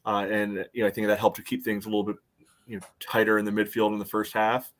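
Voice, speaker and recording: Male American podcast co-host, slightly deep voice, conversational and halting, talking through soccer tactics casually. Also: little pitch movement.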